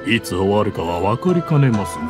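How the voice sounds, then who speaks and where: A man's voice: on the low side, sing-song, brisk. An actor playing a dutiful male clan retainer in a game, speaking formally and deferentially. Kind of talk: Japanese game voice acting. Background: game music.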